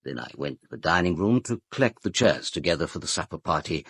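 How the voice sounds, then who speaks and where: Deep, husky, formal British butler. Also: male voice, sing-song, fast, fairly bright tone, no room sound, exaggerated voice. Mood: matter-of-fact. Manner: stiff, deferential, precise formal speech. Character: formal, discreet, unflappable male butler of a castle. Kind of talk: full-cast radio drama.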